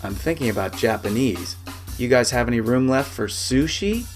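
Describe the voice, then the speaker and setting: A man's voice: mid-range voice, animated, normal speed, soft background music, close to an everyday voice. A man voicing a confident, casual big-city businesswoman in an audiobook. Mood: brisk, casual.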